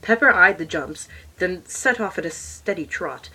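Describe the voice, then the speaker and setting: A woman's voice: lowish voice, expressive, normal speed, pushed and bright, slightly rough, full character voice. A woman playing a pompous, easily irritated male alien troll who reads a storybook aloud in a stilted, muttering way for comedy. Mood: storytelling. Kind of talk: comedic in-character storybook reading. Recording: noisy amateur recording with clicks.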